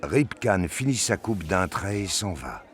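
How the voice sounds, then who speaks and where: A man's voice: deep voice, animated, a little slow, faint music behind. A man reading scripted narration for a science fiction audio drama.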